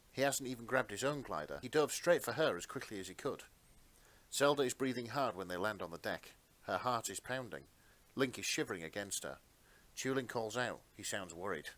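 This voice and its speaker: A man's voice: fairly low pitch, a bit bright. A man narrating fan fiction as a podcast co-host in a dramatic storytelling style.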